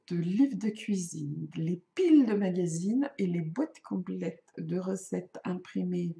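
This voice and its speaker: A middle-aged woman with a mellow, gravelly voice who is not a native French speaker. She chats to her viewers in a relaxed, self-mocking way, often pausing to hesitate. Her voice carries the echo of the room around her. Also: fairly low pitch. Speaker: knitting and crochet vlogger. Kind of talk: casual chat to camera.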